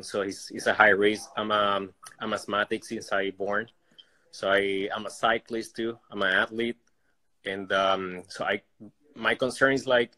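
A man's voice: lowish voice, pushed and bright. A man in his forties with a Puerto Rican accent and Spanish as his first language, chatting casually as a caller on a phone indoors. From Puerto Rico. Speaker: caller, personal trainer. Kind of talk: casual chat. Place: indoor room at home. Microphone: phone at arm's length.

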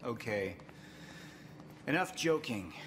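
An actor playing a confident, persuasive scientist with a secretive streak, smooth and earnest in a cinematic video game. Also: male voice, medium pitch, expressive, slow pace, a bit bright, slight background noise. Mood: friendly, teasing. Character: confident, persuasive male scientist and old friend, driven and secretive. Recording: music behind the voice.

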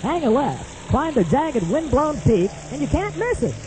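A woman playing a gruff, folksy, blustering stagecoach driver in a comic radio play. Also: high-pitched, big pitch swings, measured pace. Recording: noisy old radio play recording.

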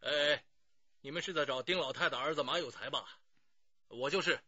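A man's voice: a little high, moderate pace, pushed and bright, slightly rough, dull audio. An actor playing a talkative, self-justifying middle-aged man under police questioning in a radio drama, pleading and sighing as he explains. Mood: eager, reassuring.

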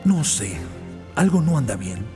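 A young cartoon footballer, cool and proud yet troubled. His delivery is lively and varied, over prominent background music. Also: medium pitch, measured pace. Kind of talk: animated cartoon dub.

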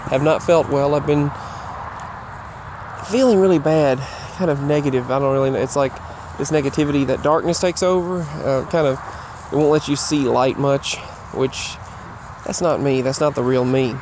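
A man giving a casual, rambling update while walking outdoors, halting and often repeating himself. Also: medium pitch. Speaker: vlogger. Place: outdoors, walking in a grassy yard near roads. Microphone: earbuds microphone with a phone. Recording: clear outdoor traffic noise. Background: passing traffic.

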